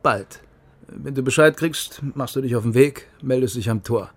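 Guy doing a east german stasi lieutenant, radio drama, clipped n cocky, wry, secretive, medium pitch. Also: normal speed, lightly acted. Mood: conspiratorial. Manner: clipped, self-assured, conspiratorial. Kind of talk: radio crime drama dialogue.

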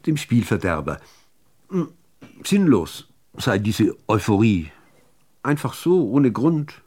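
A man reciting literary poetry, phrasing it in a measured, deliberate way with expressive emphasis. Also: on the low side, breathy edge. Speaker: reader of poems.

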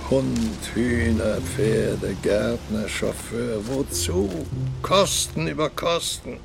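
An actor playing a bitter, self-pitying opera star in his eighties, muttering and brooding with long pauses in a radio drama. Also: heavily acted. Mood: aggrieved. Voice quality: gravelly, low. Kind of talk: audio drama acting.

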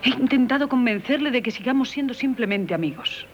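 A woman's voice: high-pitched, lively intonation, brisk, slight background noise. An actress with a Castilian accent playing a humble, troubled married woman in love in a 1970s radio play, formal and hesitant. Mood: pained, confessing. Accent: Castilian Spanish from Spain. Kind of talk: 1970s radio theatre acting. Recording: muffled old radio broadcast.

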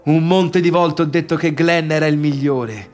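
An actor playing a bitter, needy, boastful failed pianist in a halting theatrical radio monologue that swings between murmurs and outbursts. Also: male voice, fairly high pitch, darkish tone, faint music behind, close to an everyday voice. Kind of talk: radio theatre monologue, addressing imagined listeners.

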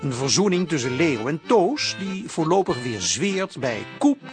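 A man's voice: fairly high pitch, sing-song, unhurried. A man narrating a radio serial as a wry storyteller, in scripted style, with accordion music behind.

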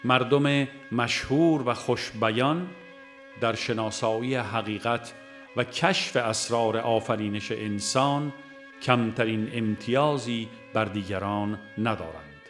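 A man reading a religious audiobook as scripted narration, in a formal, solemn and measured manner with deliberate phrasing. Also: mid-range voice, a bit bright, faint music behind.

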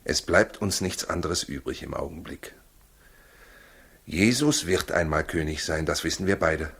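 A protective, determined husband in a 1960s radio play. His voice is deep and rough-grained. He speaks gravely, in a measured, urging way. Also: fairly bright tone, heavily acted. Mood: earnest, persuading. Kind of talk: 1960s German radio drama acting.

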